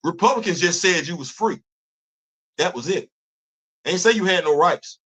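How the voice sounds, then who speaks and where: A man in his fifties holds forth on a casual livestream, animated and preachy, circling back over his words with restarts and repetitions. His voice sits a bit high for a man, and he speaks into a phone. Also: normal speed, slightly rough. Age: 50s. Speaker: host of a commentary livestream.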